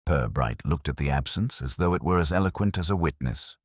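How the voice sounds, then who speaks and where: An extremely deep male voice reads mystery fiction as a measured narrator. The delivery moves widely in pitch, with a slightly husky grain and a very dry, close sound. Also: lively intonation, no room sound. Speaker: audiobook narrator. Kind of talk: scripted literary mystery narration.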